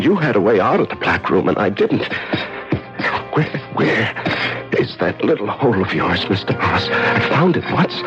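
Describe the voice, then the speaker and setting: Casting: weakened male captive in radio drama, anguished and tender, very animated pitch, dull recording under loud music. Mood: weary, pleading. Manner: rambling, halting, swinging between tender murmurs and anguished cries. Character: weak, despairing starving male prisoner talking to a mouse. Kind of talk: old-time radio drama acting.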